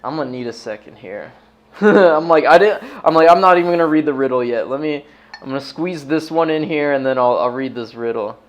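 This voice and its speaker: American man, fairly high voice, casual livestream host, laid-back stoner talk, slang and frequent swearing. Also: brisk, a little hiss or hum. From United States.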